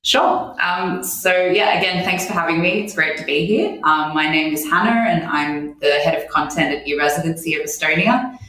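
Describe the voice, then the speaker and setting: A woman's voice: low-pitched, moderate pace, fairly bright tone. Chatty Australian woman, echoey room. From born in New Zealand, raised in Australia. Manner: chatty, laughs often, frequent ums. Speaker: guest, head of content. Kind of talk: casual conversation.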